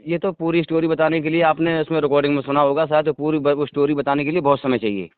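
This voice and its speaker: A man's voice: fairly high pitch, steady tone, fast talker, clean tone, no room sound. A man talking on a phone call, insistently justifying himself and asking to be heard out. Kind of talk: phone conversation. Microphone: telephone. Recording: tinny, dry phone call recording.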